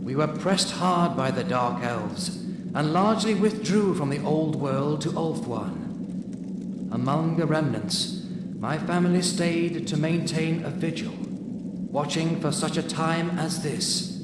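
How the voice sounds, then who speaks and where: Echoing, solemn noble elf. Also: male voice, a little high, slow, very noisy. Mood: solemn, earnest. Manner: formal, imploring. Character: solemn noble male elf, earnest and proud. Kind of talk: fantasy game cutscene acting. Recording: echoing, distant from the microphone.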